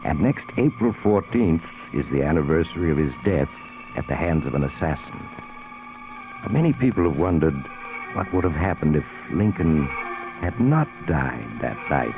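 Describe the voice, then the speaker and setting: Deep, sinister radio storyteller. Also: male voice, sing-song, exaggerated voice. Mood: sinister, inviting. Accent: American. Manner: ominous, deliberate, teasing menace. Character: sinister mysterious traveler, male storyteller of eerie tales. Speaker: narrator and host. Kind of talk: 1940s radio mystery narration. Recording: tinny old radio recording with music.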